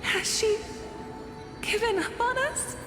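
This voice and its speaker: A woman's voice: very high voice, takes it slowly. An actress playing a frightened townswoman who begs for mercy. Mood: pleading. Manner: pleading, desperate. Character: frightened woman of the sky folk begging for mercy. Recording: echoey reverb with game music.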